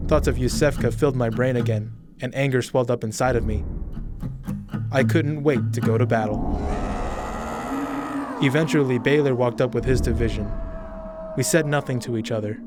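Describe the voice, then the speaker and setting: A young man reading a first-person audiobook story in a slow, measured, brooding way. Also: mid-range voice. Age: young adult. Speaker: narrator.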